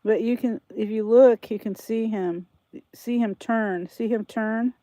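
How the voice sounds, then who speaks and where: A woman's voice: fairly high pitch, fairly even intonation, a little slow, warm tone, no room sound, low-quality recording. An older woman vlogger with a congested head cold, chatting in a rambling, thinking-aloud way and often restarting her sentences. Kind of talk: casual rambling chat to camera.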